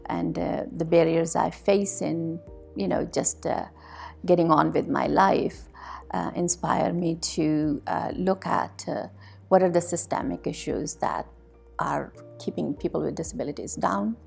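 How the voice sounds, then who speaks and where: A middle-aged woman from South Asia telling her story in a reflective interview, halting with frequent pauses. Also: lowish voice, creaky, a little mellow, soft background music. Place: quiet office meeting room. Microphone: lapel mic.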